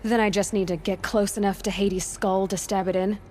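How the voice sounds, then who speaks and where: A woman's voice: mid-range voice, steady tone, normal speed, a little mellow, slight background noise, close to an everyday voice. A wry young hunter, played by a woman, speaks directly with a dry, sarcastic edge. Her voice sits mid-range, with faint game ambience behind it.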